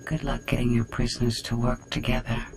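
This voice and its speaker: An actor voicing a smug, calculating machine intelligence that talks precisely and with condescension in a video game. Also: male voice, mid-range voice, flat delivery, fairly quick, a little hiss or hum, natural voice, rough recording. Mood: smugly menacing. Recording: music behind the voice.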